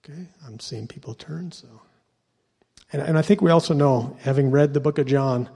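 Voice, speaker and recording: A man's voice: mid-range voice, moderate pace, creaky, dark tone, a little hiss or hum. A man from Ohio giving a sermon to a church congregation as a guest lay preacher, stumbling and repeating words with lots of fillers. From Ohio, USA.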